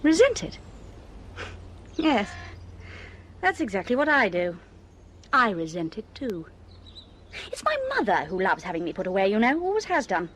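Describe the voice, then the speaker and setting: A bored, privileged young woman in a radio drama. Her pitch leaps about dramatically as she teases and mocks herself with theatrical flippancy. There is faint noise behind her voice. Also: big pitch swings, moderate pace, long pauses, a bit bright. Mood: wry, mischievous. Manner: self-mocking, theatrical, teasing.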